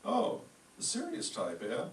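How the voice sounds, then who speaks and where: Guy acting polite brisk shop owner, old radio comedy, highish voice, off-mic in echoey room. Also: huge pitch swings. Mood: eager, friendly. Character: brisk, courteous department store owner, Mister Bush. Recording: echoey room, distant mic, faint noise.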